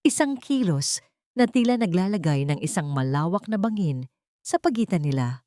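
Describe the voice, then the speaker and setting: A woman's voice: fairly low pitch, big pitch swings, moderate pace, warm tone, no echo, studio quality. A woman with a Filipino accent giving a scripted, dramatic narration of a love story.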